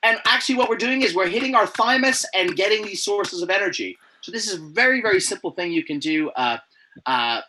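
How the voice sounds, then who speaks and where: A man being interviewed over a video call. He talks in a playful, animated way with many restarts. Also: high voice, fairly quick, crowd noise, rough recording. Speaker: guest, presenter coach. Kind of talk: remote interview chat.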